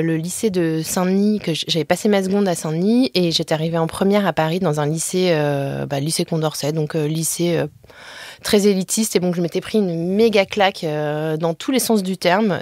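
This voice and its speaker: A woman's voice: lowish voice, darkish tone, dry recording, clean studio sound. A woman in her forties telling her own love story in a casual podcast conversation, candid and frank, with many fillers and restarts.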